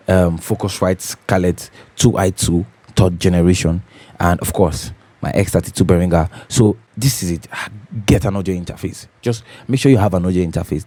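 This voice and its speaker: A man with a deep, bassy voice hosting a home recording tutorial, talking casually and repeating his key points as he checks in with viewers. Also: dark tone, no echo. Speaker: host of a home recording channel. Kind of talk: casual tutorial talk to camera. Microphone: studio condenser microphone.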